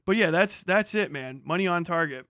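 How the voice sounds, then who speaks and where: A man's voice: sits high, expressive, moderate pace, a bit bright, slight background noise. A middle-aged man giving casual, rambling reaction commentary as a livestream host, full of slang and swearing. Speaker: livestream host, former Marine.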